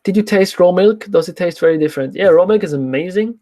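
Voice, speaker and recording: Young male livestream coach, non-native English speaker, slightly high voice, informal Q&A delivery. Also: quick pace, warm tone. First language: not English, speaks French as a second language. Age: young adult. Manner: informal, reads out questions then answers. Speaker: nutrition coach, livestream host. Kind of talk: casual livestream Q&A, answering viewer questions.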